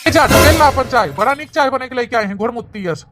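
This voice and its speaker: A sulky young brother-in-law in an Awadhi cartoon comedy, played by a woman. The voice sits fairly high. He speaks with an imperious, scolding edge, forever demanding service and grumbling about it. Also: animated, fast, music in the background. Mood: irritated, scolding.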